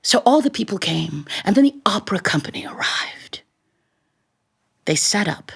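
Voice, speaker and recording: A woman storyteller performs live in a theatrical, expressive way. Her pitch moves widely as she plays up each turn of the tale. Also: moderate pace, vocal fry, husky, in a whisper.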